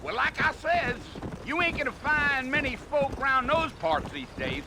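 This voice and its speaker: An actor playing a talkative, folksy Old West guide in a video game, with an American Southern and Western drawl. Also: male voice, very high-pitched, sing-song, unhurried, noisy background, heavily acted. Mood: friendly, chatty. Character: chatty, folksy Old West ranch hand guide, man. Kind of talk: video game cutscene acting.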